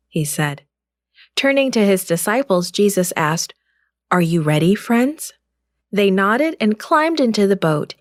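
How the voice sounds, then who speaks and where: A woman reading a scripted children's Bible story in a measured, careful read-aloud manner. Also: mid-range voice, no room sound, clean studio sound.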